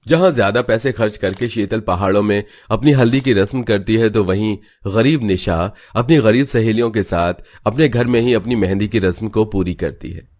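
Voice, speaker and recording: A man's voice: lowish voice, moderate pace, hardly any pauses, a little mellow. A man giving scripted storytelling narration for a cartoon.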